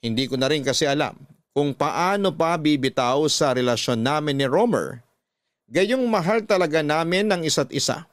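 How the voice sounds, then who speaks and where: A man's voice: a little high, expressive, moderate pace. A Filipino man narrating a first-person letter as dramatic radio storytelling, earnest and sorrowful. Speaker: radio host reading a listener's letter.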